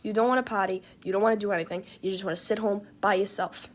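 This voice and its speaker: Fast-talking young woman in a chatty clip. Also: medium pitch, steady tone, background noise. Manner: chatty, upbeat listing. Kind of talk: social media clip monologue.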